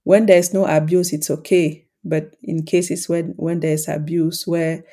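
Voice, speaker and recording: A woman with a low voice talks calmly and thoughtfully to her podcast listeners. She keeps her tone warm and mellow and her pitch even, with little rise or fall. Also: unhurried. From Cameroon. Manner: calm, reflective, explanatory. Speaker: podcast host and coach. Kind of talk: solo podcast talk.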